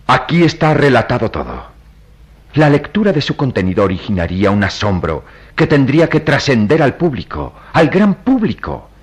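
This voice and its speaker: An actor playing a grave, compassionate priest in a radio drama, speaking in solemn, oratorical phrases. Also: male voice, medium pitch, sing-song, normal speed, breathy edge. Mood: fervent, grave. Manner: solemn, oratorical, emphatic delivery. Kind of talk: melodramatic Latin American radio drama acting.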